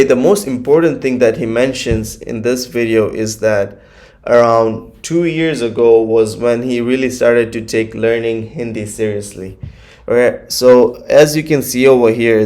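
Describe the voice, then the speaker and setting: A man's voice: medium pitch, darkish tone. A man whose first language is Gujarati, hosting a casual reaction video and chatting through his commentary with plenty of fillers. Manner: chatty, nitpicking, many fillers. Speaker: YouTube reaction host.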